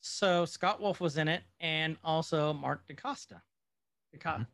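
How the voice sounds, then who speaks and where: A man's voice: fairly high pitch, measured pace, creaky, a bit bright, no room sound. A man co-hosting a podcast, chatting casually and with opinions about old movies. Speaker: podcast co-host.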